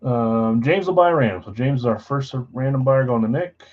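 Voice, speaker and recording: A man's voice: lively intonation, a little fast, darkish tone, dull audio. A man hosting a live trading card break stream, casually reading out buyers and spots in a matter-of-fact voice he calls boring. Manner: self-described boring voice, low-key and matter-of-fact.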